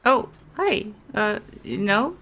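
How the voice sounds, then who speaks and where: A woman's voice: mid-range voice, big pitch swings, drawn-out words, pushed and bright, a little hiss or hum. A young adult woman giving casual gameplay commentary, rambling and thinking aloud in an informal way. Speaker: gaming streamer. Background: faint game music.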